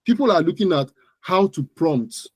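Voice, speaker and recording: A man's voice: on the high side, expressive, normal speed, slightly rough, dry recording. Nigerian man giving instructive advice. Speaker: interview guest, digital marketing expert. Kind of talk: conversational explanatory interview answers.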